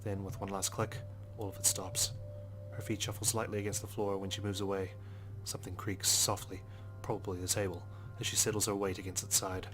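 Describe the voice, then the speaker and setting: A brooding male police detective, read by a man narrates his inner thoughts in a visual novel. The low voice is measured and solemn, with little melody, over quiet music. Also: flat delivery. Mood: pensive. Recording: music behind the voice.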